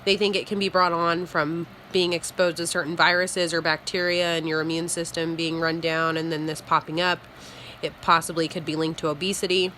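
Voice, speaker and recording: A young woman with a cold, sniffly and clearing her throat, giving an informal class presentation with many ums. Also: lowish voice, bright, forceful tone, a little hiss or hum. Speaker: student presenter.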